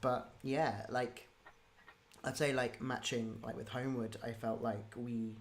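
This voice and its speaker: A woman's voice: very deep voice, fairly even intonation, unhurried. A woman interviews in a loose, chatty way. She rambles and cuts into her own sentences, and faint room ambience and noise sit behind her voice. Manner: informal, rambling, self-interrupting. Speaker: interviewer, podcast creator. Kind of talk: casual interview chat. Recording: clear ambient background noise.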